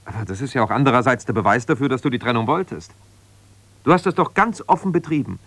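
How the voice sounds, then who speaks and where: An actor playing an embittered, self-righteous husband, a lawyer, reproachful and exasperated on one side of a phone call in a naturalistic radio play. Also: male voice, medium pitch, fairly bright tone, no room sound. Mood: defensive, reproachful. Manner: defensive, reproachful, exasperated, insistent.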